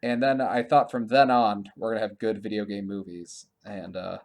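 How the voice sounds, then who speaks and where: A man co-hosting a podcast in casual conversation, informal and thinking out loud as he talks. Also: lowish voice, steady tone, normal speed. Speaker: podcast co-host. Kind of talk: casual podcast chat about games and films.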